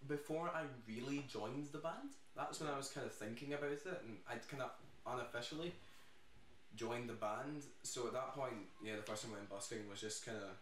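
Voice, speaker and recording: A young Scottish man in a band, chatting casually in a video Q&A, laid-back and trailing off softly. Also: mid-range voice, a little fast. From Scotland. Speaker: band singer. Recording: echoey room, mic far off, faint noise.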